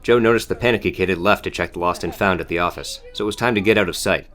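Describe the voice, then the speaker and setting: A man narrates a novel for an audiobook. His voice sits low, and he delivers scripted prose as a storyteller. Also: very fast, fairly bright tone, faint music behind.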